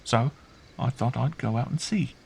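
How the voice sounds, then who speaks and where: A man's voice: mid-range voice, normal speed, vocal fry, slight background noise, pitched above the performer's own voice, natural voice. A man voicing a bewildered, earnest young girl in an audiobook, trusting and fragile, speaking simply. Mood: hushed, earnest. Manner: hushed, simple, earnest delivery. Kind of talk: audiobook character voice.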